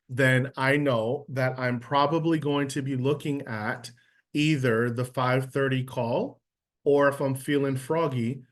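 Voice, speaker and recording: A man teaching a casual live trading lesson over a video call, checking in often with his listeners. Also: medium pitch. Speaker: trading instructor.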